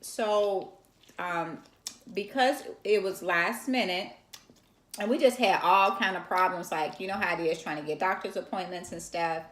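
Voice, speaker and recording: A woman's voice: fairly low pitch, unhurried, fairly bright tone. A middle-aged woman co-hosting a casual chat with her daughter, teasing and laughing often. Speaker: co-host, mother of co-host. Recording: echoey room.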